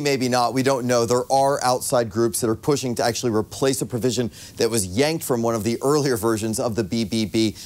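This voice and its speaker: A man's voice: medium pitch, a little mellow. An American man reporting the news as a broadcast correspondent.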